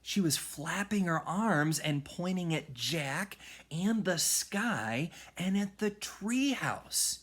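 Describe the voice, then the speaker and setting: A man reads a children's storybook aloud at a slow, deliberate pace. His delivery is dramatic and lively, with big swings in pitch and hushed, suspenseful phrasing. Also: breathy edge. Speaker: music teacher reading aloud.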